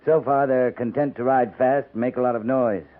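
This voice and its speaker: An actor playing a firm, plain-spoken frontier cavalry captain in a 1950s radio western, terse and grave. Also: male voice, medium pitch, steady tone, moderate pace, natural voice. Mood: warning, firm. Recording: narrow tinny 1950s radio recording.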